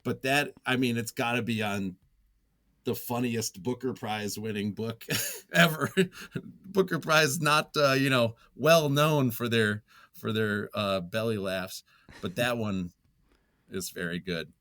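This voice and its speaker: A man's voice: mid-range voice, a little slow, a bit bright, no echo, studio quality. A man speaking as an interview guest in casual conversation, searching for his words and chuckling now and then. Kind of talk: casual book-recommendation chat.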